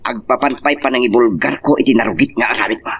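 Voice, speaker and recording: A man's voice: mid-range voice, fast, creaky, fairly bright tone, breathy edge. A man with an Ilocano accent acting a brazen rival who makes threats in a romance audio drama. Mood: defiant, threatening.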